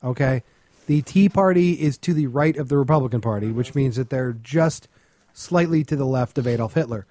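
A man's voice: medium pitch, normal speed, warm tone. A man co-hosting a podcast in casual comedy chat, ranting with crude, sarcastic scorn. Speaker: podcast co-host.

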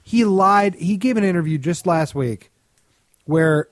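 Male podcast co-host, higher-pitched, sardonic and opinionated, casual comic commentary with a very dry sound. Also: a little slow, no room sound.

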